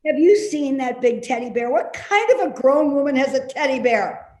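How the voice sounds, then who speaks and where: A woman's voice: sits high, lively intonation, darkish tone. A woman in a play reading, playing a suspicious, complaining elderly mother who nags indignantly. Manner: nagging, indignant, slow and insistent. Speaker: actor in a readers theater play. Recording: roomy, echoey remote reading.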